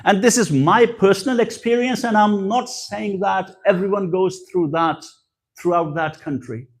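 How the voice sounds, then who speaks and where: A man's voice: sits high, normal speed. A man from Pakistan, giving a religious lecture that mixes advice with personal stories and repeats key phrases for emphasis. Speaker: guest speaker at a religious gathering.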